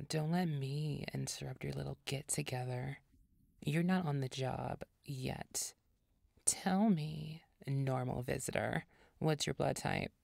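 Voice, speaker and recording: A woman voicing an imperious vampire boss in an audio roleplay, commanding, condescending and deliberate. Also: rumbling low, vocal fry, darkish tone, no room sound, studio quality, heavily acted. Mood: cool, commanding.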